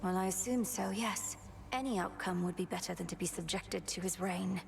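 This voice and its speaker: A woman playing an earnest, determined young underworld princess and witch in a game, speaking formally and with measured care. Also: on the low side, gravelly, noisy background. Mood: resolute, bitter. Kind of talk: scripted game acting.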